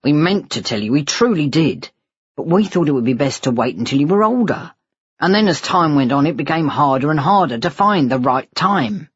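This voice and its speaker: A woman's voice: sits low, lively intonation, normal speed, breathy edge, no echo, highs cut off, heavily acted. A woman voicing a weary ageing father, remorseful and pleading with sighs, in an audiobook story. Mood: weary, regretful. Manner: sighing, pleading. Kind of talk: dramatised audiobook dialogue.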